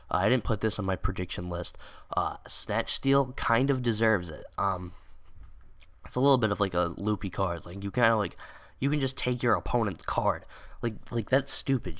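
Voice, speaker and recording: A man's voice: fairly low pitch, fairly bright tone. A young man with a stuffy nose from a cold, giving rambling casual commentary with lots of "like" and "um". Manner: rambling, many "like" and "um" fillers. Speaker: card game video creator.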